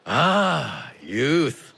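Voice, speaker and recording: A wily old Chinese tea trader in a game cutscene, jovial and teasing. His voice swings widely in pitch and is bright, with a husky edge. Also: wildly swooping pitch, extremely slow, heavily acted. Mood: delighted, teasing. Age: older. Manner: exuberant, teasing, dramatic exclamations. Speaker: merchant character. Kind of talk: video game cutscene acting.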